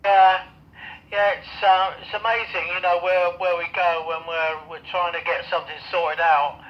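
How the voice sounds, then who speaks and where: A man's voice: sits high, flat delivery, moderate pace, lo-fi sound. A man in his seventies chatting casually as an amateur radio operator, rambling with frequent hesitations, heard over a radio link. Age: 70s. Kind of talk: casual two-way radio chat. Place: home radio shack in roof space. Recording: band-limited ham radio transmission.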